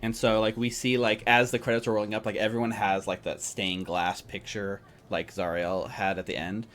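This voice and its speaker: A man's voice: fairly low pitch, little pitch movement, moderate pace, fairly bright tone, slight background noise. A man narrating a tabletop game as game master in a casual, improvised, rambling way. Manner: rambling, peppered with "like" and "you know".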